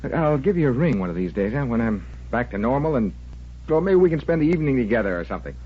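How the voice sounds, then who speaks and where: Earnest blunt friend, husky, animated intonation, halting delivery, old radio soap. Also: male voice, medium pitch. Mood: apologetic, earnest. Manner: blunt, halting, self-deprecating. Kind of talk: 1940s radio soap acting. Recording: muffled vintage radio drama recording.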